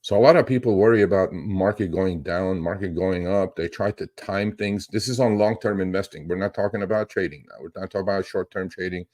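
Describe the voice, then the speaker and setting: This is a man with a deep, low-set voice, speaking as the host of a casual live stream. He talks without a script in a rambling but emphatic way, like a trader teaching his audience. He regularly tags his points with little check-ins to make sure people follow. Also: a little fast, hardly any pauses, darkish tone. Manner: rambling, emphatic, often checks with "okay?" and "right?". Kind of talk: casual unscripted livestream talk.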